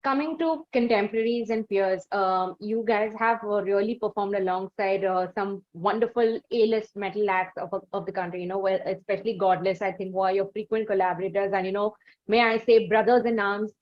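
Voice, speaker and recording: A woman's voice: medium pitch, fairly even intonation, brisk, lo-fi sound. A woman with an Indian accent hosting an interview, phrasing questions politely in long, hesitant, wordy sentences. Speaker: interview host. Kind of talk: conversational interviewing.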